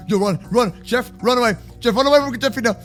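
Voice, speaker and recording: A young adult man improvising a children's block-game roleplay with excitable, exaggerated, slangy banter. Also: very high-pitched. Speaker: host of kids' gaming roleplay channel.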